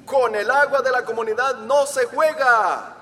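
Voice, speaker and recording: An actor playing an eager community activist in a radio drama, with a keen, declamatory way of talking. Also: male voice, very high voice, measured pace, a bit bright. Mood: declaiming. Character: eager male community activist rehearsing slogans.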